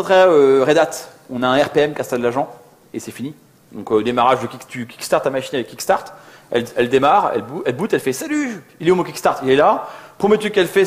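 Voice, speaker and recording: A man giving an informal tech talk, colloquial and joking, poking fun at himself as he presents. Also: on the high side. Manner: joking, colloquial, self-deprecating. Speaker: conference speaker, software architect. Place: live talk before an audience.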